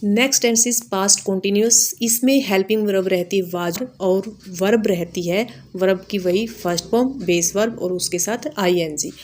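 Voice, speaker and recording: An Indian woman gives a lesson in the manner of a grammar teacher. Her voice sits at a middle pitch. She explains each step in an instructional way, repeating key points and adding short tags to check understanding. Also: fairly even intonation, a little slow, few pauses, darkish tone, slight background noise.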